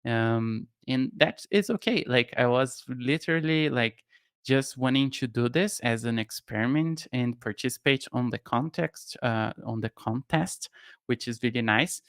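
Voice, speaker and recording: Young Brazilian man, smooth close voice. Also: mid-range voice, unhurried, no echo. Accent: Brazilian Portuguese. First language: Portuguese. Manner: relaxed, thinking aloud with many fillers and restarts. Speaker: no-code tutorial streamer. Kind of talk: casual live-stream talk. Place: home studio room with shelves. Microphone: studio mic on boom arm, headphones.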